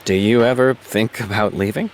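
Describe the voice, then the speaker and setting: An actor playing a brooding male TV showrunner in an audio drama, halting and understated as he voices his doubts. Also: fairly low pitch, a little hiss or hum, lightly acted. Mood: conflicted, earnest. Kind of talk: naturalistic audio drama dialogue.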